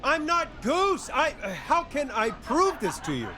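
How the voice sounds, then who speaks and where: An actor playing a wry, put-upon late-night radio host on air in a video game. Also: male voice, extremely high pitch, wildly swooping pitch, unhurried, crowd noise, background noise, full character voice. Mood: exasperated. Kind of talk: video game acting of live radio talk.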